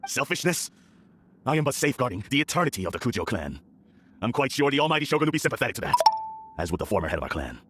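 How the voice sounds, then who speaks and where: A man's voice: medium pitch, very animated, very fast, very noisy, natural voice. An actor playing a proud, scheming middle-aged male clan lord in a video game, pompous and theatrical. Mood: scornful. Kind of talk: dramatic video game acting.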